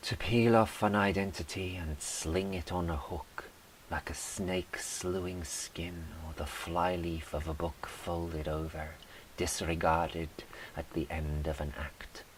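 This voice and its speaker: A man with a very low voice recites poetry slowly and dramatically, each phrase measured out. A faint hiss sits behind the reading. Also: fairly even intonation, low-quality recording. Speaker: poet reading own poems.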